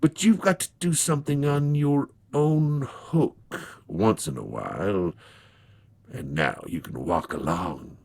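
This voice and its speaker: A man voicing a grim, patient old reaper from a storybook, gravelly and raspy, with a slow, folksy, wry delivery. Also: lowish voice, a little mellow, full character voice. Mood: patient, sly. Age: older. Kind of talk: dramatized storybook reading.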